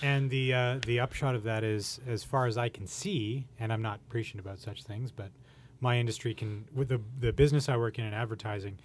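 A Canadian man with a medium-pitched voice hosts a casual podcast interview. He prompts, encourages and jokes with his guests over faint background noise. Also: steady tone, brisk. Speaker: podcast host, advertising worker.

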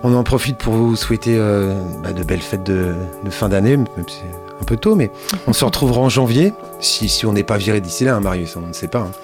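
A man's voice: fairly low pitch, fairly quick, music in the background. A man hosting a radio show, talking in a loose, rambling way with many fillers and restarts. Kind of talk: casual radio chat.

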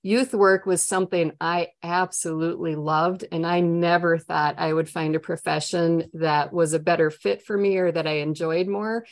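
Low-pitched Minnesotan woman, candid and talkative. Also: vocal fry. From Minnesota, United States. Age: middle-aged. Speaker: trainer and coach for families, interview guest. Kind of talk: conversational interview answers.

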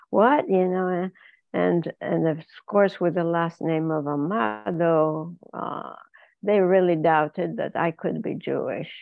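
A woman's voice: on the low side, vocal fry, no echo, narrow, tinny audio. An older woman from Izmir, telling her life story unhurriedly as an interview guest and laughing often. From Izmir, Turkey. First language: Ladino. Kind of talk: remote interview, personal story.

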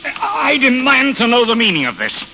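A man acting an excitable, agitated character in an old radio drama. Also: very high-pitched, normal speed, gravelly, soft background music, exaggerated voice. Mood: indignant. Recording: dull, narrow old radio recording.

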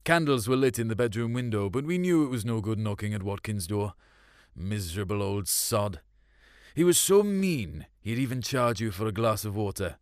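A man's voice: lowish voice, animated, moderate pace, no room sound, clean studio sound. A man narrates a short story in the first person, in a colloquial, confiding way.

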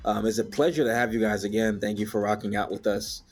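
A man's voice: lowish voice, quick pace, husky. Laid-back man hosting a podcast. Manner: relaxed, many "um" fillers. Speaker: podcast host.

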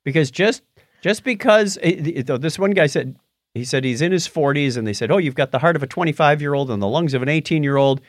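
A male podcast host in casual news-chat mode. His pitch moves around in a lively way, and his delivery is conversational and halting, with a mildly skeptical edge. The sound is close and dry. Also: no room sound.

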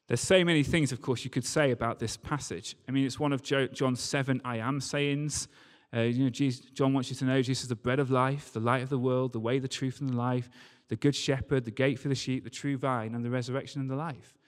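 A man preaching a sermon, reading scripture and talking it through in a conversational, informal way. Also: mid-range voice. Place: large reverberant hall, church service. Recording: long hall reverb.

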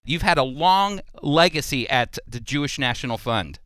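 A man's voice: mid-range voice, animated, moderate pace, fairly bright tone, clean tone, no echo, studio quality. A man hosting a radio interview program as its presenter and teacher. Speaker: radio host and Bible teacher.

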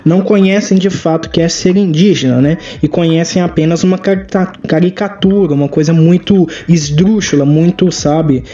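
A young man in his twenties from Pará, speaking as an interview guest and pausing often with fillers. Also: a little high, warm tone, highs cut off. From Pará, Brazil. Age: 20s. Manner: halting, many fillers. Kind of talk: conversational interview.

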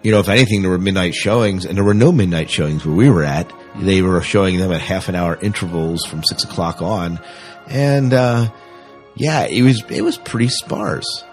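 A man with a very low-pitched voice co-hosts a casual podcast. He talks off the cuff like a fan, often repeating a phrase before moving on. Faint music sits behind him. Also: normal speed. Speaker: podcast co-host. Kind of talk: casual unscripted fan chat.

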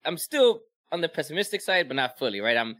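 A man's voice: fairly high pitch, very animated, fast talker, bright, forceful tone, dry recording. A man co-hosting a fan podcast, rambling and swearing in casual, self-mocking chat. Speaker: podcast co-host.

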